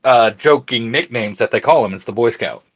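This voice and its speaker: A man's voice: mid-range voice, normal speed. A man chatting casually as a panelist in a geeky discussion.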